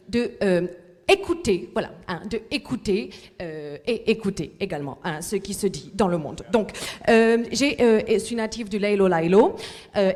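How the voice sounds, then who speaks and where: A woman's voice: medium pitch, a little mellow, lightly acted. A woman playing a self-important international book observer in a live comic sketch, hesitant and full of fillers. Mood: rambling. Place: large hall at a library event. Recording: echoey hall recording.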